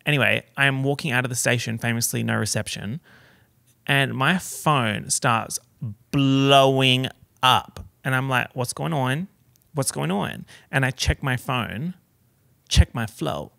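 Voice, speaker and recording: A young man chats through his podcast in a campy, theatrical style, heavy on slang. His voice is gravelly with a bright, forceful edge, and the sound is close and dry. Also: mid-range voice, a little slow, no room sound. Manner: campy, dramatic, slangy storytelling. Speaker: podcast host. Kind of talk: casual solo podcast chat.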